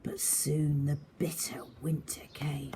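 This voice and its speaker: A woman's voice: low voice, level tone, takes it slowly, mellow, a little hiss or hum. A woman reading a children's story aloud, addressing young listeners simply and directly like a primary teacher.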